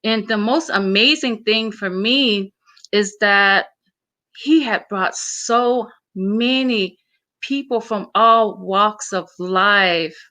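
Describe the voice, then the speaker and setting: A woman's voice: mid-range voice, takes it slowly, sharp tone. A woman from Samoa, whose first language is Samoan, speaking as an interview guest in an earnest, emphatic way, often repeating her words. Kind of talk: casual interview.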